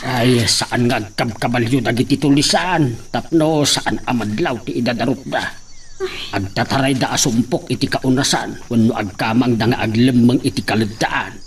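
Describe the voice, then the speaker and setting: A man acts as a rural Ilocano father in a radio drama, talking as a parent to a child. His pitch sits in the middle for a man. There is a light hiss of chirping noise behind him. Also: moderate pace, close to an everyday voice. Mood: explaining, cautious.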